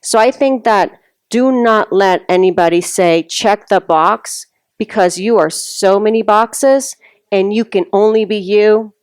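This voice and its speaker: A woman's voice: mid-range voice, measured pace, darkish tone, smooth, no room sound, studio quality. A middle-aged woman from Mexico answering a question as a panelist, earnest and reflective. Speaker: panelist sharing her story. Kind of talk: heartfelt public speaking.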